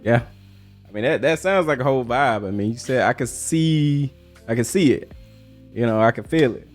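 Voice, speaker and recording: Male interviewer, mid pitch, informal halting delivery, relaxed chat style, instrumental music underneath. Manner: informal, halting questions, brief affirmations.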